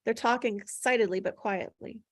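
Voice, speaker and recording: A woman's voice: on the high side, brisk, no echo, clean studio sound. A woman reading stage directions aloud at a table read, plainly descriptive, with occasional stumbles over the words.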